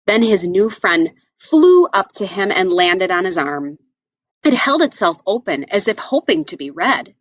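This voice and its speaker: A woman reading a picture book aloud to children, with expressive, deliberate phrasing. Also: mid-range voice, no echo. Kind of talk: read-aloud storytelling for children.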